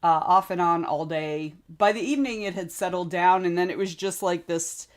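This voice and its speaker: A woman hosting a casual writing livestream, chatting and greeting viewers by name. Her voice is a little hoarse and wobbly, and she keeps clearing her throat. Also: on the low side, normal speed. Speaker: livestream host. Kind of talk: casual chat on a writing stream.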